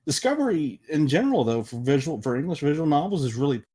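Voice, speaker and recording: A man's voice: fairly high pitch, sing-song, quick pace, dark tone. A man talking casually on a podcast as a panelist, emphatic and often restarting his sentences as he makes his point. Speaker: podcast panelist and visual novel developer.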